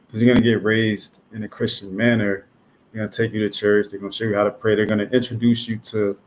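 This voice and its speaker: A man in his thirties from North New Jersey, thinking aloud in a measured, reflective monologue about how people form beliefs. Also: on the low side, a little hiss or hum. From North New Jersey, USA. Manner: measured, thoughtful, musing aloud with fillers. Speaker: artist explaining his own concept.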